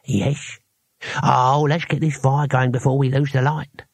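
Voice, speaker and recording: A grizzled old barbarian speaks in a creaky, raspy mumble. He is blunt and dry, with slurred, toothless diction and homely colloquial phrasing. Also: medium pitch, level tone, measured pace, a little mellow, no room sound. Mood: irritable, resigned.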